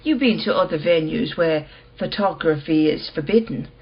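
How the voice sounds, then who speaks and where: Radio drama casting: mysterious female art dealer played by a woman, lowish voice, cool, terse and controlled, slightly muffled sound. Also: lively intonation, normal speed. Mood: firm, cryptic. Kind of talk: radio play acting.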